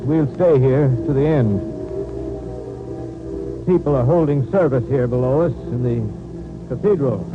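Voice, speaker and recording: An actor playing a grave, doomed announcer in a 1930s radio drama, speaking solemnly in halting, clipped phrases. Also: male voice, fairly high pitch, background noise. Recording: dull old 1930s radio recording.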